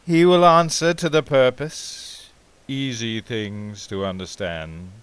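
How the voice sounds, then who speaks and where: Guy doing dramatic poetry reading, really slow, clear resonant voice, very dry close sound, impassioned. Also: mid-range voice, fairly even intonation, no room sound. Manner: theatrical, impassioned, swinging between hushed and ringing. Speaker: amateur poetry reader.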